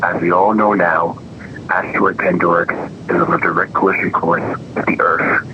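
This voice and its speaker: A man's voice: level tone, moderate pace, sharp tone. A man playing a remorseful president giving a solemn, formal doomsday address in a fictional emergency broadcast. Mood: grave, remorseful. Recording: muffled, noisy broadcast with rumble.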